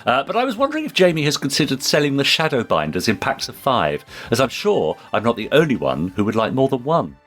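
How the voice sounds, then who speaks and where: A British man co-hosting a podcast, chatting and reading out listener messages in an animated, agreeable way. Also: mid-range voice, fast, hardly any pauses, faint music behind. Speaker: podcast co-host and actor.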